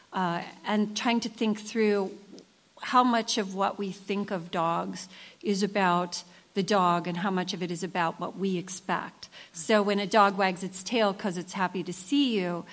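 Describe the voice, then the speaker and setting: Thoughtful woman writer on a panel. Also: mid-range voice. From United States. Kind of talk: panel discussion.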